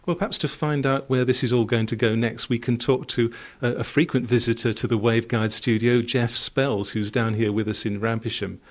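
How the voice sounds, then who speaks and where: A man's voice: medium pitch, fairly even intonation, fairly quick, few pauses. A man presenting a radio programme, interviewing experts in a conversational way.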